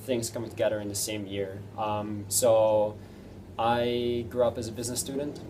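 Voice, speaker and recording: A Dutch man in his thirties answering interview questions in a relaxed, hesitant way, with many ums and self-deprecating laughs. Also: lowish voice, moderate pace, recorded from a distance, a little hiss or hum, low-quality recording. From Netherlands. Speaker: interview guest. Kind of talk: casual interview chat.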